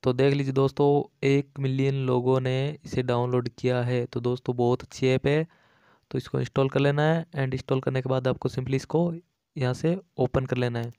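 A man's voice: medium pitch, level tone, normal speed, warm tone, smooth, no room sound. A man giving a casual, repetitive step-by-step app tutorial as a voice-over, addressing viewers as friends. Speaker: tech tutorial YouTube host.

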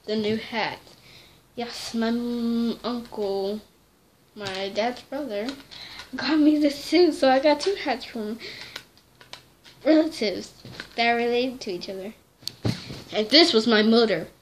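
A woman's voice: a little high, a bit bright, slight background noise. Chatty young girl filming at night. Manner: rambling, chatty, self-correcting. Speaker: vlogger. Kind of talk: casual chat to camera. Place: small bedroom at night, dim lighting. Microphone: device camera held close.

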